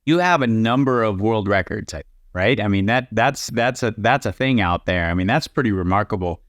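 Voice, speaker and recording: A man's voice: lowish voice, moderate pace, no echo, clean studio sound. A man hosting a podcast, chatting in an affable, encouraging way with his guest. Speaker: podcast host. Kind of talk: casual interview chat.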